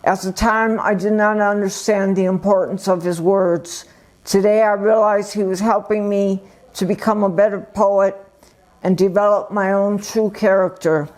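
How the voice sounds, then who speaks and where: A woman reads a poem she wrote, with very little rise and fall in her voice. Her delivery is plain and deliberate, as a member of a poetry group presenting prepared work. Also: mid-range voice, fairly even intonation, darkish tone.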